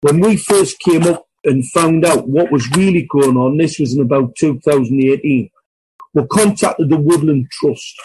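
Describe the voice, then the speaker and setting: Man, slightly high voice, unscripted interview guest, halting, repetitive, insistent, some background noise. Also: a little mellow, poor audio. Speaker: guest campaigner.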